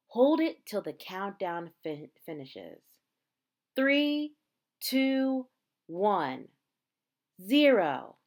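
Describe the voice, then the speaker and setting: A woman reading a picture book aloud like a teacher, in measured, dramatic phrases with emphasized words. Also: medium pitch. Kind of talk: read-aloud storytelling.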